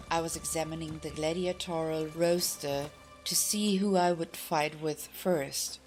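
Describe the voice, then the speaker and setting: A woman audiobook reader narrating a fantasy tale from a script. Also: low-pitched. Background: music.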